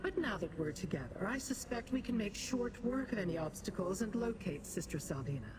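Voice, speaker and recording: A woman voicing a haughty, calculating demon sorceress in a fantasy video game, formal and condescending in her measured delivery. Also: medium pitch, lively intonation, background noise, lightly acted. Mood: imperious, appraising.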